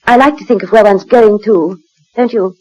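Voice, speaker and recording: A woman's voice: medium pitch, normal speed, darkish tone, lightly acted, rough recording. An actress playing a well-bred British lady in a theatrical, hesitant 1940s radio drama style. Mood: dreamy, wistful. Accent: British English. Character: well-bred lady, by turns shocked visitor and dreamy mental patient. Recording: old 1940s radio recording.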